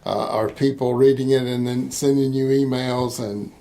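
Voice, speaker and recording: A man in his sixties hosting an interview in a relaxed, conversational way, with frequent restarts and easy laughter. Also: mid-range voice, normal speed, poor audio. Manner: rambling, hesitant restarts, laughs easily. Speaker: interviewer, missions organization host.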